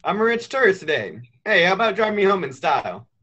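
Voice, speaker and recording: A man's voice: high-pitched, big pitch swings, fast, bright, forceful tone, breathy edge, exaggerated voice. A young man playing an eager, loyal youth who dreams of travel in a radio play. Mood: upbeat. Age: young adult.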